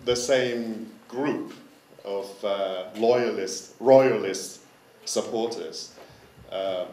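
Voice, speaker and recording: A man whose first language is Dutch, giving a hesitant conference talk as a curator, often repeating words and pausing with fillers. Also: mid-range voice, darkish tone. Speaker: curator giving a forum presentation. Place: forum lecture hall. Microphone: handheld microphone. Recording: roomy hall sound from a distant mic, faint noise.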